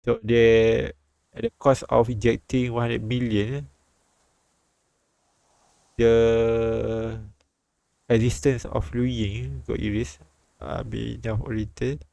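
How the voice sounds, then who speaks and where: A man streaming a live read-aloud of a visual novel, halting and repeating words as he goes. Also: medium pitch, little pitch movement, creaky, warm tone, no echo.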